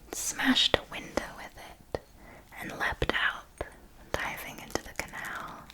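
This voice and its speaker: A woman playing an elegant, possessive ancient vampire mentor in a whispered ASMR roleplay, speaking in a deliberate, formal, endearing way. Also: fairly high pitch, low-quality recording. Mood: hushed, grave.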